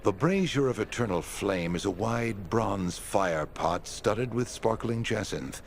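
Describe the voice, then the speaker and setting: A shrewd game patron speaks in a deep, measured voice. He sounds formal and authoritative, over faint background noise. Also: animated, vocal fry. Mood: composed, authoritative. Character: shrewd, composed male quest-giving patron. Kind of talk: video game dialogue acting.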